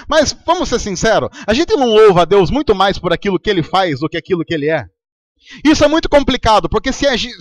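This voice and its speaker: Brazilian male pastor, high animated voice, dry close sound, chatty sermon delivery. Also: no room sound. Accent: Brazilian Portuguese. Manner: conversational preaching with anecdotes.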